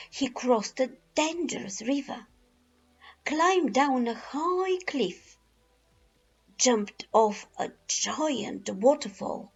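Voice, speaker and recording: A woman narrating a children's picture book expressively and deliberately, with dramatic pauses and drawn-out phrases. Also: fairly high pitch, vocal fry, slightly rough. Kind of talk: slow expressive storybook narration. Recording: dull lo-fi recording with music behind. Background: music.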